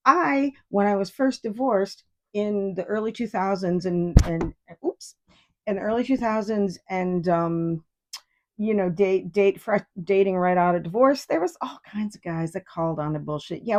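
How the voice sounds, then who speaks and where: A woman's voice: mid-range voice, darkish tone. A woman in her fifties from New York State, hosting a solo podcast in a rambling, digressive and profane way. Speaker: podcast host. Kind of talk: casual solo podcast monologue.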